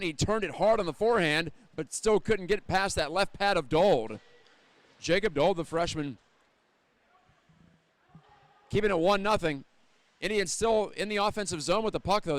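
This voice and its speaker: A man's voice: sits high, no echo. A man with an American accent calling live hockey play-by-play, fluent and descriptive, lifting his energy with the action. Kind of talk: live sports commentary.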